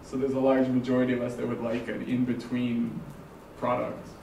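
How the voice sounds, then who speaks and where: A man at a meetup, talking loudly and animatedly in a casual group discussion. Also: medium pitch, normal speed, creaky. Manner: loud, animated, talks in bursts. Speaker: meetup attendee. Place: meetup room. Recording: roomy, from far off, faint noise.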